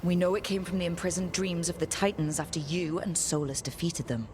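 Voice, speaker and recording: An actress playing an earnest, determined heroine in a fantasy video game, speaking plainly and sincerely. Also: female voice, fairly low pitch, steady tone, a little fast, background noise, natural voice. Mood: resolute, pleading. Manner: plain-spoken, sincere, resolute. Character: earnest, determined young heroine, leader of companions.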